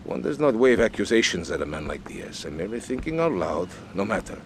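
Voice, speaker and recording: An actor playing a scheming colonel in a crime game, formal, florid and persuasive in manner. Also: male voice, lowish voice, big pitch swings, a little fast, a little hiss or hum. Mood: smooth persuasion. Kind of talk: video game cutscene acting.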